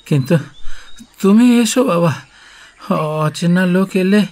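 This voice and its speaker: Slow, halting anxious older father. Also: high-pitched, darkish tone. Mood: anxious, hesitant. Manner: halting, hesitant. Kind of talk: audio drama acting.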